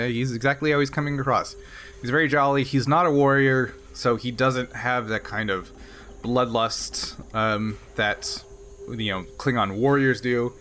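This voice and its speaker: A man working as game master, narrating a tabletop game off the cuff in a halting, conversational way. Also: medium pitch, fairly bright tone, a little hiss or hum.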